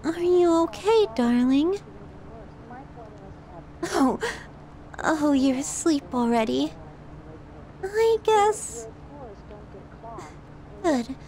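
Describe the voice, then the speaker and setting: A woman plays a lovesick young yandere woman in an ASMR audio drama, clinging and possessive. She speaks slowly and intimately to a silent lover, fragile yet quietly menacing. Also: high-pitched, animated, exaggerated voice. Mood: tender, confessional.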